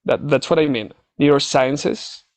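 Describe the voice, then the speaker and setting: Slow, hesitant Mexican man answering questions. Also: medium pitch, dry recording. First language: Spanish. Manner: hesitant, searching for words. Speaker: scientist, English learner being interviewed. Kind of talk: conversational interview answers.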